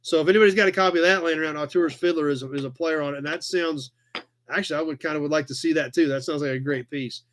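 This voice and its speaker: A man in his forties with an American accent and a slightly high-pitched voice hosts a casual live chat. He talks in an easygoing, rambling way, reading comments and answering them conversationally. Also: steady tone, quick pace, a bit bright. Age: 40s. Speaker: live chat show host.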